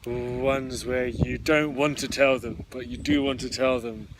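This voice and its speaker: A man in a relaxed, easygoing podcast chat as co-host. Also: medium pitch, measured pace, noisy background. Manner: relaxed, easygoing, frequent listening murmurs. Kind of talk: casual discussion.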